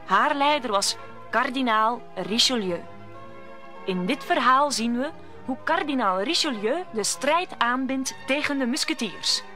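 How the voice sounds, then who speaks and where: A woman's voice: fairly high pitch, animated, unhurried, sharp tone, faint music behind. A woman narrating a scripted radio play as a storyteller.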